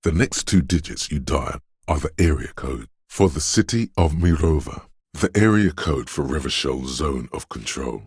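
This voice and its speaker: A man voicing an erudite inner voice full of trivia in a video game, deep, gravelly and husky, narrating deliberately with dry pedantry. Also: lively intonation, dry recording, exaggerated voice. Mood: measured, knowing. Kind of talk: measured game narration addressing the listener.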